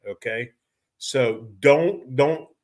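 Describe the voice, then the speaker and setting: A middle-aged man giving an informal technical training lecture in a folksy, halting way, often repeating and rephrasing himself. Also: medium pitch.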